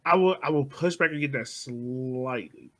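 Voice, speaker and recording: A man co-hosting a casual sports podcast, easygoing, asking the others questions and pushing back gently. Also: mid-range voice. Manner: easygoing, leads with questions, measured pushback. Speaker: podcast co-host.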